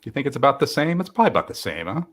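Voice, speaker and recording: A man hosting a live stream interview, upbeat and quick to laugh. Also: mid-range voice, big pitch swings. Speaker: host.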